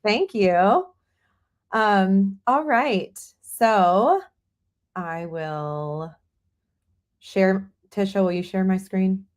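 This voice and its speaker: A woman with a light Southern accent from North Carolina walks viewers through a software demo over a video call. She talks in a friendly, casual way and drops in plenty of "like" and "um" as she goes. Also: mid-range voice, expressive, drawn-out words, long pauses. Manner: friendly, uses y'all, frequent fillers like "like" and "um". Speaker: guest presenter.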